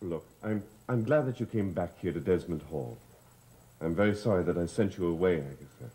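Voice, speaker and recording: Brooding gentleman of the manor: low voice, swooping intonation, formal, soap drama. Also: expressive, a little fast. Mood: apologetic, grave. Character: grave, commanding gentleman master of a gothic hall. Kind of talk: 1960s gothic soap opera acting.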